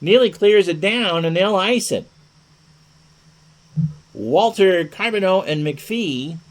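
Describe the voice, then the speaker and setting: A man's voice: high voice, expressive, takes it slowly, poor audio. A man doing chatty play-by-play hockey commentary, mixing clipped calls of the action with joking asides.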